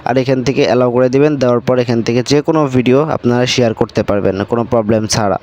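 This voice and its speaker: A man's voice: mid-range voice, fairly even intonation, very fast, a little mellow, dull audio. A man presenting a casual step-by-step screen tutorial, repeating his instructions as he goes. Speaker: YouTube tutorial host.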